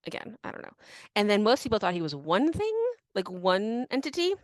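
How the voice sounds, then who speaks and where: A woman's voice: medium pitch, big pitch swings, a little fast, dry recording, studio quality. A young woman co-hosting a podcast, casually telling a history story with many fillers and frequent laughs. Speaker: podcast co-host.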